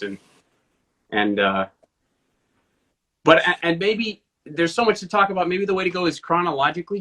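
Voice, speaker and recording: A man's voice: mid-range voice, sharp tone. A man hosting an interview over a video call, enthusiastic and joking at his own expense. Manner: enthusiastic, self-deprecating humor. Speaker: interview host. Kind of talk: casual interview chat.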